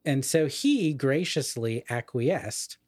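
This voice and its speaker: A middle-aged man talks casually about literature as a podcast co-host. His voice sits at an ordinary pitch in a very dry recording, and he is learned and digressive, wandering into asides. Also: animated, slow pace, a little mellow, husky, no echo.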